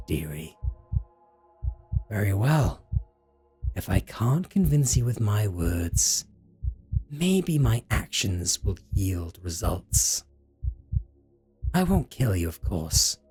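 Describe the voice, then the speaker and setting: A slow, mellow-voiced male vampire, acted by a man in an audio drama, drawing out his threats with theatrical relish. His pitch swoops widely as he slips between cold menace and mock tenderness, possessive and seductive. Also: husky, full character voice. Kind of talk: scripted roleplay audio drama.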